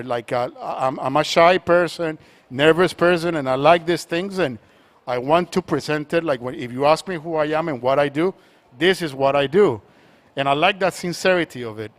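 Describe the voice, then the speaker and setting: A man from Colombia, an art collector speaking on a panel, talking in a halting way with many repeated words and restarts. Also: on the high side. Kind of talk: panel conversation.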